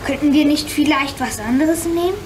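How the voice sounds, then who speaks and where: A woman's voice: normal speed, lightly acted. A woman playing a curious, anxious young boy in a children's radio play, questioning and eager. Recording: clear rumbling noise behind.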